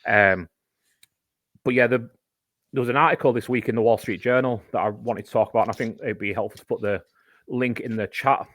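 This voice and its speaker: A man's voice: medium pitch, a little fast, fairly bright tone, dry recording. A man, a podcast guest, explaining a topic in casual chat, talking at length and giving examples.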